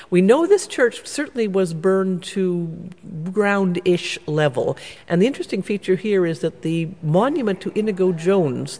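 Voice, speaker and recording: A man with a slightly high, gravelly voice explains church history in a measured, scholarly way. His words ring out with a long reverb in a stone church. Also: darkish tone. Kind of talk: explanatory interview answers.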